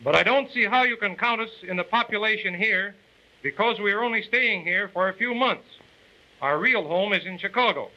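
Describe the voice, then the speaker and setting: Protesting resident, 1940s training film: high, very sharp voice, muffled old soundtrack. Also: male voice, normal speed. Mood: puzzled protest. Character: male temporary resident, questioning the census rules. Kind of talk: 1940s instructional film acting. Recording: dull, lo-fi 1940 film soundtrack.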